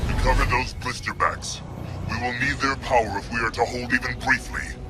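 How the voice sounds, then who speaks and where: An actor playing a fierce, loyal alien warlord commander in a video game, giving orders in a declamatory military manner. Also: male voice, medium pitch, moderate pace, close to an everyday voice. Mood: controlled command. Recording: loud music behind the voice.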